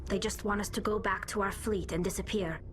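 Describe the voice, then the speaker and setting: A woman's voice: medium pitch, fairly even intonation, brisk, slight background noise, close to an everyday voice. An actress playing a young, grateful female alien crew member in a video game, earnest and sincere in manner.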